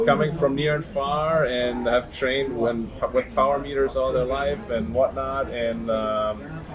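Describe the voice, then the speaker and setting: Rambling podcast host, lots of ums. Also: male voice, mid-range voice, rough recording. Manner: rambling, many "um"s and restarts. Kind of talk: casual podcast interview.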